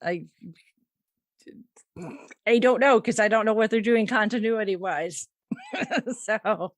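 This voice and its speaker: A woman's voice: medium pitch, slow, dry recording, clean studio sound. A woman hosting a podcast, reading out fandom news in a casual, wry and playful way with chuckles. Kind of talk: casual chat.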